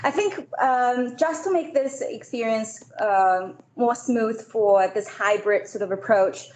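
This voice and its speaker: A woman's voice: fairly high pitch, slow, a little mellow. A woman from China and Malaysia giving an informal business presentation to a meeting. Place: meeting room, hybrid event. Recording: echoey room, faint noise.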